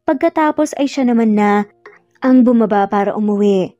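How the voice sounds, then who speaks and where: A woman's voice: on the high side, moderate pace, warm tone, no room sound, dull audio. A woman with a Filipino accent reading a scripted romance story as its narrator. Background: music.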